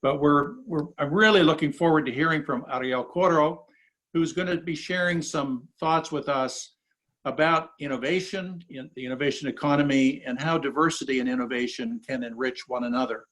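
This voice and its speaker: Male academic host, courteous, unhurried, a few ums, on a video call. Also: a little high, fairly bright tone. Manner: polite, measured, hesitant with fillers. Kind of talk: hosting a virtual panel.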